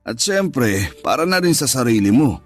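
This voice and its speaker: A jealous, moneyed Filipino businessman played by a male actor speaks to his lover in a radio drama. He is possessive and commanding, providing yet menacing, in a medium-pitched voice over faint music. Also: big pitch swings, normal speed. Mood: reassuring. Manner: possessive, firm, reassuring turning threatening. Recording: music bed under the dialogue.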